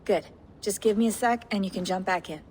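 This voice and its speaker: An actress playing a brisk, practical and considerate tech operator in a naturalistic video game scene. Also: female voice, medium pitch, darkish tone, natural voice. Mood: practical, attentive. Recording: long reverb, faint background noise.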